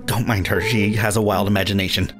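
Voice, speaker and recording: A man voicing an earnest, awkward, stammering young man in an animated fan series. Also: lowish voice, quick pace, close to an everyday voice. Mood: awkward, flustered. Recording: background music under the voice.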